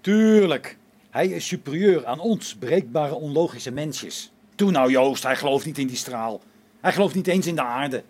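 Man as an animated, husky, uneasy space crewman. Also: on the high side, a little slow. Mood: sarcastic, agitated. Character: excitable, uneasy male space station crewman. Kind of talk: radio play acting.

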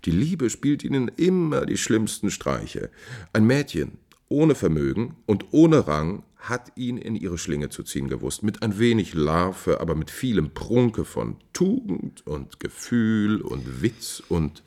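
A low male voice as a scheming courtier in a 1950s radio drama. He speaks with ironic deference, his lines insinuating and very lively in pitch. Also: unhurried. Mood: mocking, dismissive. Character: smooth-tongued, scheming male courtier serving a prince. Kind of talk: 1950s German radio play acting.

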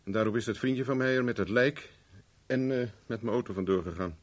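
An actor in a 1970s Dutch radio play, playing a cocky private investigator with a dry, teasing, self-mocking manner. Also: male voice, medium pitch, brisk, lightly acted. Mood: firm, explaining. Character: wry, cocky male private investigator for hire.

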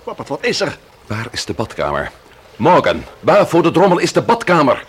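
A man's voice: fairly high pitch, wildly swooping pitch, moderate pace, slightly rough, exaggerated voice. A man acting an urgent, protective brother-in-law in a Dutch radio thriller serial. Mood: alarmed, urgent. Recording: faintly noisy old radio drama recording.

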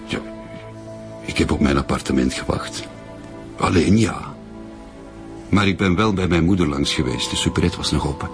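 A young Turkish-Flemish suspect in a radio crime drama. His voice is low and his manner is defensive as he explains himself. Clear music runs underneath. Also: normal speed, long pauses. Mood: uneasy, explaining. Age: young adult. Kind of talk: naturalistic radio drama acting.

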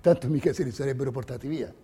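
A man narrating a documentary theatre piece, reading a script in measured, carefully separated phrases. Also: medium pitch, warm tone, slightly rough.

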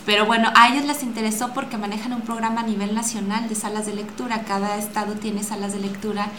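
A woman's voice: mid-range voice, level tone, quick pace. A woman with a Mexican accent speaking in a chatty, informal way as a guest in an interview. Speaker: radio drama maker and guest. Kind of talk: casual interview talk. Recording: echoey room with clear background noise.

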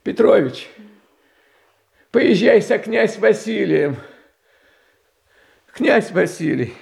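A meek, frightened tsar. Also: male voice, high voice, gravelly, darkish tone, exaggerated voice. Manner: hesitant, confused and pleading. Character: meek, anxious young male tsar. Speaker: title character in a radio play. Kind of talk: theatrical radio play acting.

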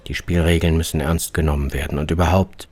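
A man reading a scripted literary radio travelogue as its narrator, in terse, deliberate fragments. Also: rumbling low, steady tone, noisy background. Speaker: author narrating his own journey. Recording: music bed under the voice. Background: music.

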